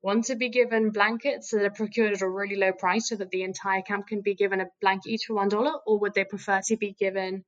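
A woman's voice: medium pitch, fairly even intonation, fast, hardly any pauses, muffled recording. A woman who speaks Farsi natively, co-hosting a podcast in a chatty, self-deprecating way. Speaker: podcast co-host. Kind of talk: casual podcast conversation.

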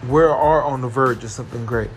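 A man hosting his own podcast, talking earnestly and repetitively about positivity, with a carrying voice that rises into excited emphasis. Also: medium pitch, a little fast, a little hiss or hum. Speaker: podcast host. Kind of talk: motivational solo podcast monologue.

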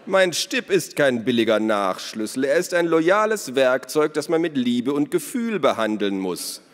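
A man's voice: a little high, big pitch swings, measured pace, darkish tone, voice pushed up. An eccentric storybook father, acted out by a man reading aloud, earnest and pedantic about his beloved tool. He lifts his voice in mock-solemn indignation. The sound rings with long hall reverb. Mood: indignant.

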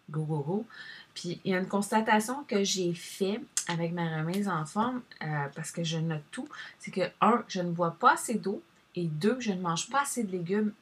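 A woman's voice: on the low side, slightly rough. A woman with a Québécois French accent chatting casually to viewers, rambling and addressing them directly as she shares advice.

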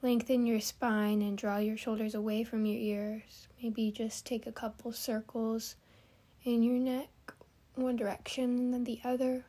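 A woman hosting a guided meditation, giving gentle, soothing instructions with long pauses. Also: a little high, little pitch movement.

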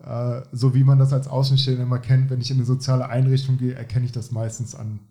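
A man's voice: mid-range voice, flat delivery, fast, mellow. A man hosting a podcast, talking in long rambling sentences with a frequent tag "ne?". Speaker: podcast host. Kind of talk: casual interview chat.

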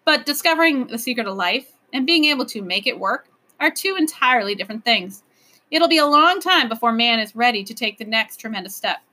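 A woman's voice: fairly high pitch, animated, brisk, bright, forceful tone. Woman playing a flustered, deferential male professor. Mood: earnest. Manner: hesitant, pleading, explanatory.

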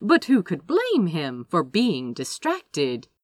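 A woman narrates an audiobook with theatrical expression. Her voice sits slightly low and swings dramatically in pitch. She takes her time, and the sound is dry. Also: huge pitch swings, slow, no room sound. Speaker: audiobook reader. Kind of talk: expressive scripted story narration.

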